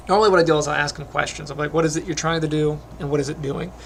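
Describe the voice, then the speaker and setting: A middle-aged man talks through his animation work at a desk mic, slightly higher voice with a gravelly, phlegmy edge. He rambles in a relaxed way, full of ums, and keeps clearing his throat. A faint hiss sits under the recording. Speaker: animation teacher. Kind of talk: casual screen-recorded tutorial chat.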